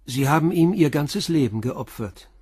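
Probing, composed police commissioner. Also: male voice, on the high side, lively intonation, darkish tone, husky, no echo, clean studio sound. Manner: probing, measured questioning. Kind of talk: German crime radio play dialogue.

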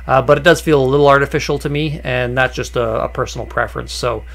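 A man explaining a woodworking project in a relaxed, conversational voice-over, with frequent "uh" fillers and small restarts. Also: mid-range voice, quick pace. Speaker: woodworking channel host. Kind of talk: voice-over narration of a workshop project.

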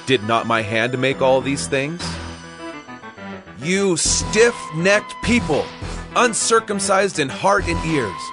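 Stephen, a fervent high-voiced male preacher. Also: very animated, slow, music in the background. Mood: indignant accusing. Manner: declamatory, impassioned. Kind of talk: dramatized scripture, oratory.

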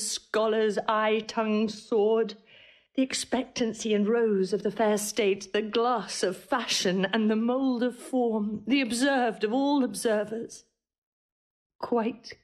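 A grief-stricken young noblewoman laments in classical verse. Her voice sits fairly high and moves very slowly, mournful throughout, in the manner of Shakespearean audio drama. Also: steady tone, darkish tone. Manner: slow, mournful verse delivery.

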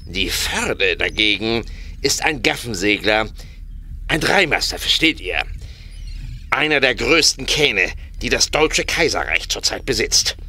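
A slow, wry harbour master. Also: male voice, mid-range voice, gravelly, bright, forceful tone, heavily acted. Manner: drawn-out, hesitant, pedantic explaining. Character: wry, cagey male harbour master, talkative and expert. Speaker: voice actor in an audio drama. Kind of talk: German radio play acting.

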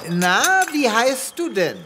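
A man's voice: high voice, animated, slow pace. A man playing a composed, courteous space vet with a formal, avuncular, dry manner in a comic radio play. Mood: coaxing. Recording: noisy sound-effect ambience behind.

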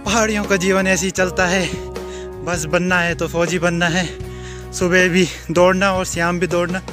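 A young man narrates his day into a phone held at arm's length, outdoors on hill roads and inside a car. His voice sits high and he talks to his viewers in a chatty, easygoing way. Music plays clearly underneath. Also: little pitch movement, normal speed. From Uttarakhand hills, India. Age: young adult. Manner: chatty, addresses viewers directly. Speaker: vlogger. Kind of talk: casual travel vlog narration. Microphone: handheld phone camera at arm's length.

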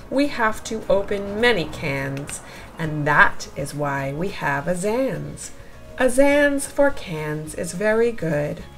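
A woman reading a rhyming picture book aloud for young children in an expressive, deliberate storytelling manner. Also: mid-range voice.

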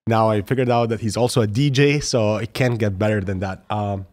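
A young man hosts a podcast interview in a relaxed, friendly manner, often laughing. His voice is fairly low and close to the studio boom mic. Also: fairly quick. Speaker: podcast host. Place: studio room with plants. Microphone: boom mic with headphones.

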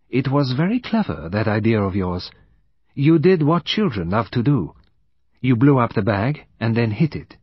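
A man voicing a shrewd, gentle detective who explains a crime in a measured, courteous way, in an audiobook. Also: mid-range voice, slightly rough, no room sound, dull audio, pitched above the performer's own voice. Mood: knowing.

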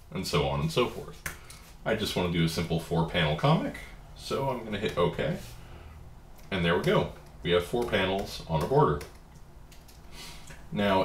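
Guy w a stuffy cold doing a chill drawing app tutorial, low voice, kinda echoey. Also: slight background noise. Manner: relaxed, plain step-by-step explaining. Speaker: comic artist giving a software tutorial. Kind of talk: screen-recorded software walkthrough.

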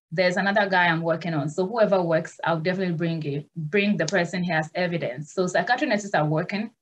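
Young nurse hosting a live Q&A; reassuring, plain-spoken, video-call sound. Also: female voice, medium pitch. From Ghana. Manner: earnest, direct and reassuring. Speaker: nurse and migration consultant host. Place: small room at home.

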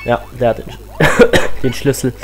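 Chatty teenage gamer with a cold. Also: male voice, medium pitch, measured pace, faint music behind. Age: teens. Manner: chatty, excitable, rambling asides. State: has a cold. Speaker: let's play host. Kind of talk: casual game commentary.

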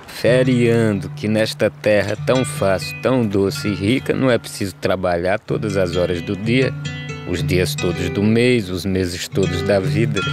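A man playing a poor, weary migrant farm labourer, speaking measured verse in an animated film. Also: fairly low pitch. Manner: measured, declaimed rhyming delivery. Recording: music behind the voice.

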